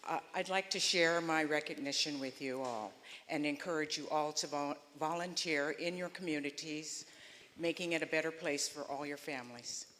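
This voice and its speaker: A woman's voice: low-pitched, a bit bright, in a large hall, a little hiss or hum. A woman giving a short, hesitant acceptance speech with fillers and restarts. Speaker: honoree accepting recognition.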